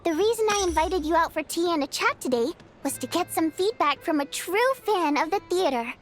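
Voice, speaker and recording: A woman's voice: very high-pitched, expressive, brisk, music in the background. A woman playing a bubbly, scheming young female singer in a video game, chatty and coaxing in a theatrical way. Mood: polite, coaxing. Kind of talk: video game character dialogue.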